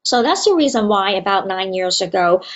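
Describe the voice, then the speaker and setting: A woman's voice: medium pitch, expressive, moderate pace. Woman presenting over a video call, lots of ums, earnest, muffled lo-fi sound. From Hong Kong. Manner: earnest, many fillers and hesitations. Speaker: university student wellbeing director. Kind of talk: conference presentation. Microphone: remote video call.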